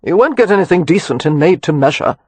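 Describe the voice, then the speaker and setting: Reader doing snooty lord, dismissive, breathy. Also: male voice, on the high side, lively intonation, quick pace, a little mellow, no echo. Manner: dismissive, assessing. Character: haughty aristocratic lord.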